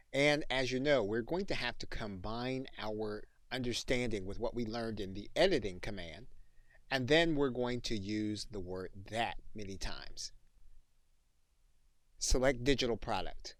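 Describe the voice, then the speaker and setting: A man giving instructional software tutorial narration, speaking deliberately as a training instructor. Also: on the low side, dry recording. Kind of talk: instructional screencast tutorial with dictated commands.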